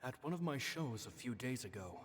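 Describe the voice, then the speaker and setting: An actor playing a kindly, humble male stage magician, reading his diary in an earnest, gentle way in a game voice-over. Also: medium pitch, lively intonation, brisk, slight background noise, lightly acted. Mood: reflective. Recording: game audio with long reverb and music.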